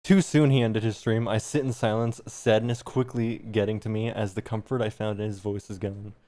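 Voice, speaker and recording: A man's voice: fairly low pitch, fairly even intonation, moderate pace, dark tone. A man streaming, reading fan fiction aloud with some embarrassed amusement.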